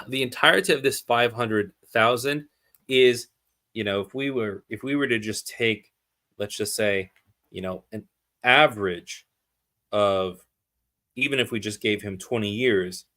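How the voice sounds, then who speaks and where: A man's voice: mid-range voice, sharp tone. A man giving a live streamed lesson, thinking aloud through worked examples and answering his own questions. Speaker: teacher explaining zakat calculation.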